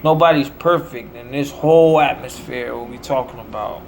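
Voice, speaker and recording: A man's voice: mid-range voice, moderate pace, gravelly, poor audio. An American man delivering a rambling monologue in short, declamatory, preacher-like phrases. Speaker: self-promoting musician.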